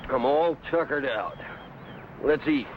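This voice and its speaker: An actor playing a carefree, stubborn American frontier trapper with folksy backwoods speech, in a vintage adventure serial. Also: male voice, medium pitch, slow pace, natural voice. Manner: folksy backwoods drawl and dialect. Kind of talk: 1950s adventure serial acting. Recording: muffled, noisy old broadcast soundtrack.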